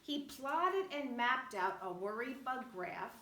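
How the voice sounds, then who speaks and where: A woman reads a children's rhyming picture book with animated, rhythmic expression. Her voice is bright and on the high side, and she takes her time over each phrase. The room adds noticeable echo. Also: slow pace. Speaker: storybook reader. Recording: roomy echo, faint background noise.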